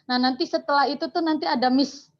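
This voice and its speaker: A woman's voice: high voice, fairly even intonation, fast. A woman with a Javanese Indonesian accent asking a question from the audience, rambling and mixing in Javanese words. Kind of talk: casual question. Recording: dull lo-fi sound from off-mic.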